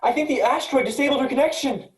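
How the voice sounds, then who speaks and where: A woman's voice: sits high, very fast, echoey room, natural voice, poor audio. A woman playing an earnest, insistent, rule-abiding programmer aboard a spaceship in a virtual staged play reading. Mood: urgent.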